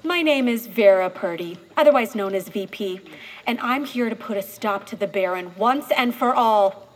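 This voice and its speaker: A woman's voice: medium pitch, animated, normal speed, close to an everyday voice. An actress playing a poised, self-promoting town councilwoman with a formal, speechifying manner in a comic audio drama. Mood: self-important.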